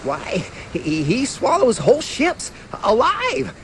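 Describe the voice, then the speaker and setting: A man voicing a small, loyal, old-fashioned cartoon cricket in a video game, folksy, excitable and prone to stammering. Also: very high-pitched, slow, background noise, heavily acted. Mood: fretful, warning. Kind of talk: animated video game voice acting. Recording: music behind the voice.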